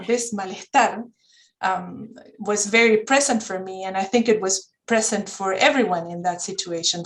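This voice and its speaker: A woman's voice: medium pitch, poor audio. A woman who speaks Spanish as her first language, talking as a counselor on a panel in a thoughtful way, often searching for words and restarting. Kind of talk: reflective conversational talk. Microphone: video call.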